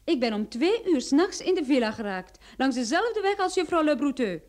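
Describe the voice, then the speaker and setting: A bold female private detective in a radio drama. Her voice is high and very animated, and she teases with playful self-assurance. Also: fairly quick. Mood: confident. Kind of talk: Dutch radio play acting.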